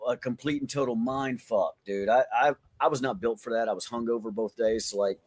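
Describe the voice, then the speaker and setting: Man, podcast co-host, mid-pitched, a bit rough-edged, casual banter, slangy, profane. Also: a little fast.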